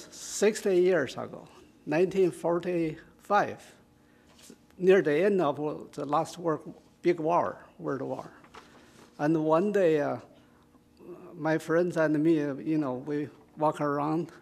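Older man, Chinese accent, halting with fillers, slow speech, somewhat raised pitch. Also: vocal fry, darkish tone. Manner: halting, with many fillers and restarts. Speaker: poetry reader. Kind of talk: informal reading and explanation at a community event. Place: small community room with an audience. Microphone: stand microphone.

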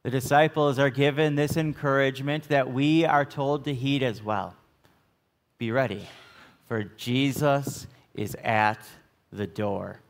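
A middle-aged American man preaching a sermon as a pastor, phrasing deliberately with emphatic stresses in a church. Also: mid-range voice. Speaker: Lutheran pastor. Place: church sanctuary, at the pulpit. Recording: long church reverb.

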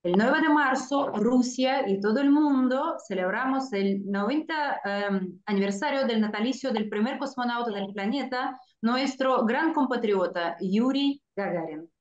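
A woman's voice: a little high, moderate pace, few pauses. A middle-aged woman giving a formal welcome speech over a video call, reading each passage in two languages. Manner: reads each passage twice, first one language then the other. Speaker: cultural center representative. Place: indoor room, video call. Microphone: video call webcam. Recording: echoey, dull video call audio.

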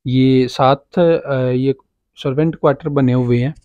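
A man with a Pakistani Urdu accent, talking casually as he walks a property and points things out. His voice sits at a medium pitch. It is recorded close and dry on a handheld phone. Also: steady tone, unhurried, dry recording, dull audio. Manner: casual guiding, filler-heavy, pointing things out. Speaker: property agent. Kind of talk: walking property tour. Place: outdoors on a farm property, walking.

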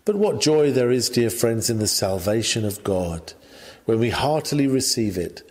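A man reading an old sermon aloud in a measured, formal, earnest preaching manner. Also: medium pitch, very animated, darkish tone. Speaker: reader of a historical sermon. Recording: long hall-like reverb.

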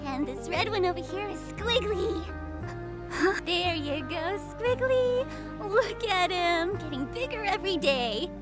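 A woman voicing Cornelia, a gentle, dreamy young girl who talks softly and sometimes whispers, heard as game audio with music behind. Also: extremely high pitch, animated, measured pace. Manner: soft, childlike, sometimes whispering. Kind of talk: voiced game dialogue.

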